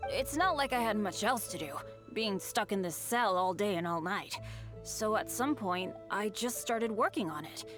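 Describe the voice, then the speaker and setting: An excitable boy adventurer from a video game, voiced by a woman, speaks with big swings of intonation. He is earnest and boastful, cheerful despite bad luck, with soft music underneath. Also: mid-range voice, moderate pace, natural voice. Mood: flustered, grateful. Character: earnest boy adventurer, upbeat, chronically unlucky. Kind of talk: English video game dub, animated.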